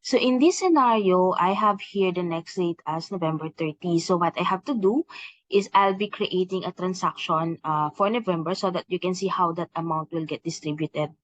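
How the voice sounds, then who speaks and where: A woman explaining software step by step as an instructional trainer, hesitating often as she goes. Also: lowish voice, normal speed. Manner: instructional, with frequent hesitations and repeated "okay" and "please take note". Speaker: software trainer presenting a tutorial. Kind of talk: step-by-step software demonstration, semi-scripted explanation. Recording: slightly dull, lo-fi screen-recording audio.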